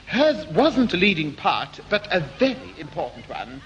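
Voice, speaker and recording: A man's voice: on the high side, huge pitch swings, normal speed, muffled recording. A man playing a timid, prim English amateur play producer, hesitant and fussy, in a 1960s British radio sitcom. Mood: fussy, nervous. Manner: hesitant, prim, nervous chuckling. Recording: faintly noisy 1960s radio broadcast.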